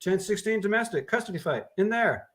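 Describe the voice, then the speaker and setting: A man's voice: sits high, lively intonation, brisk, fairly bright tone, voice pushed up. A man voicing a middle-aged police officer, clipped and commanding. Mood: urgent.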